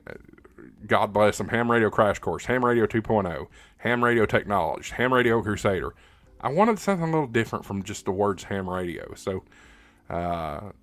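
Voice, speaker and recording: A man's voice: fairly low pitch, a bit bright, no echo. A man hosting a casual livestream, reading out viewer comments and answering in a conversational, rambling way as he thinks aloud. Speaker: livestream host.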